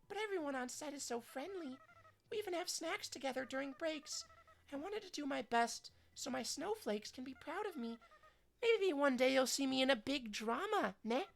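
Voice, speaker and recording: Woman doing a sweet high idol girl. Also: vocal fry, heavily acted. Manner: soft, sweet, bubbly. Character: sweet, eager young female pop idol.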